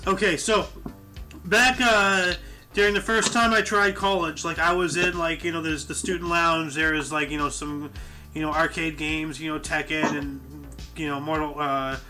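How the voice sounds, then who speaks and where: A man in his thirties with a high, bright, ringing voice, chatting casually with friends. He rambles and loops through his stories, leaning on fillers. Faint music plays behind him. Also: fairly even intonation, normal speed. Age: 30s. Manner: rambling, many fillers like "you know". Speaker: podcast host. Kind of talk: casual group chat.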